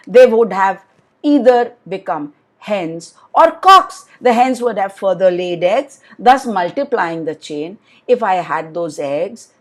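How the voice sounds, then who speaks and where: Woman voicing a pushy, animated greedy businessman. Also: mid-range voice. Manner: demanding, insistent, emphatic. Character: greedy, pushy rich businessman in a folk tale.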